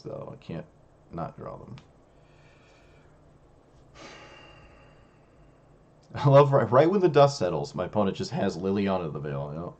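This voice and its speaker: A young man with a hoarse, failing voice, casually commenting on his own card game as a streamer, musing and self-critical. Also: deep voice, a little mellow, muffled recording.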